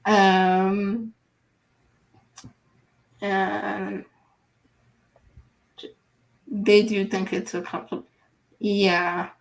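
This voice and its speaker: A woman hosting a live online interview, speaking in an unhurried, halting way as she reads viewer comments aloud. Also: medium pitch, level tone, gravelly, rough recording. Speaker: livestream host.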